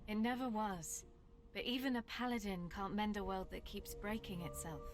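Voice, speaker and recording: A woman's voice: medium pitch, fairly even intonation, normal speed, lightly acted. An actress playing a world-weary but principled and loyal female assassin in a video game. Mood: weary, regretful. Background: game music.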